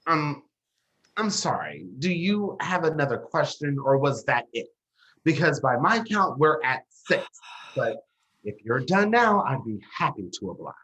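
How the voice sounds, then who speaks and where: Man playing a bitter, sarcastic young man, animated pitch. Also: unhurried. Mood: scornful. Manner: sarcastic, confrontational. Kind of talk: dramatic dialogue acting.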